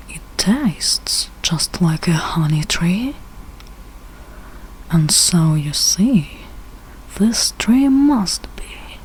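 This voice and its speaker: A woman reading a rhyming children's storybook aloud in short, deliberate phrases with pauses. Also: lowish voice, a little mellow, husky, low-quality recording. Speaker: read-aloud reader learning English.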